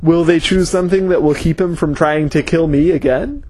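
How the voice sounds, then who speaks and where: Man voicing a grim male scholar, intense, brooding, husky, fairly high voice. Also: steady tone, moderate pace, mellow, lightly acted.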